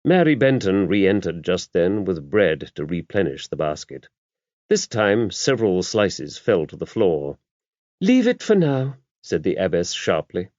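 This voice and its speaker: A man's voice: deep voice, animated, a little slow, darkish tone, no room sound. A man reading a mystery novel aloud as scripted audiobook narration. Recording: dull, muffled lo-fi audio.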